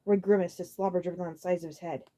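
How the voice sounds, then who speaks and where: A woman reading a story aloud as a dramatic narrator, expressive, occasionally stumbling over a phrase. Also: fairly low pitch, very fast. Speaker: audiobook narrator.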